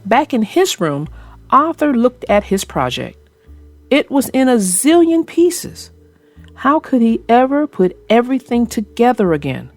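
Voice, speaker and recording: Woman storyteller, children's read-aloud host, unhurried pace, higher-pitched, speaks directly to young listeners. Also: sing-song, soft background music. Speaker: children's book narrator and channel host.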